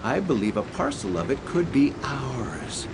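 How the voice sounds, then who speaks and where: A man's voice: mid-range voice, big pitch swings, unhurried, noisy background. An actor playing a middle-aged, grandiose inventor father who is blunt and condescending, in a comic radio theatre style. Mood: dreamy, enthusiastic. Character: pompous, teasing, visionary inventor father.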